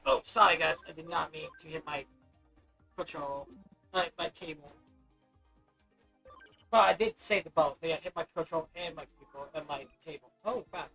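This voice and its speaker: Hoarse, rambling woman streamer. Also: low-pitched, normal speed, pauses often, fairly bright tone, faint music behind, rough recording. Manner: rambling, loose, with many restarts. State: scratchy, hoarse voice. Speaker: gaming livestreamer. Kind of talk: casual chat while playing.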